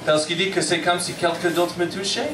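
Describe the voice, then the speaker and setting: Anglophone Montreal comic, high voice, roomy bar. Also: male voice, fairly even intonation, brisk, distant mic, heavy background noise. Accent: English-speaking Montreal. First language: English. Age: 30s. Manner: self-deprecating, punchy, frequent "ok?" check-ins. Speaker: stand-up comedian. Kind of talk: stand-up comedy set. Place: comedy bar stage.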